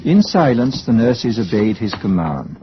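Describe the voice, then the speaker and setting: A man's voice: lowish voice, sing-song, measured pace, a little hiss or hum. A man delivering scripted narration as the narrator of a radio drama. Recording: dull, lo-fi old radio recording.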